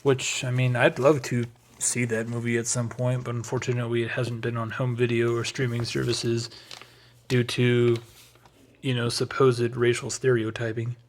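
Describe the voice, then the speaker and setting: A man's voice: mid-range voice, level tone, moderate pace, slight background noise. A man giving a casual, unscripted show-and-tell as a collector, rambling along with hesitant asides. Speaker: record collector presenting a collection.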